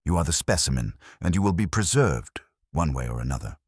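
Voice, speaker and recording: A male narrator plays an imperious, heavyset older detective from an audiobook mystery. The voice is very low and a little husky, recorded dry. He is formal, measured and sure of his authority. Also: very animated, no room sound, heavily acted. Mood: stern, authoritative.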